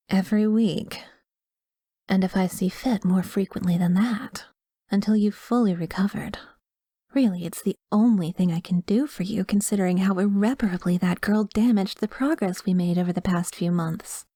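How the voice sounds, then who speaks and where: Woman acting a possessive, soft-spoken female therapist, close and dry. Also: mid-range voice, no room sound, natural voice. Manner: soft-spoken, breathy asides, coaxing and controlling. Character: doting, possessive female therapist, manipulative and smoothly professional. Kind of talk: ASMR roleplay acting.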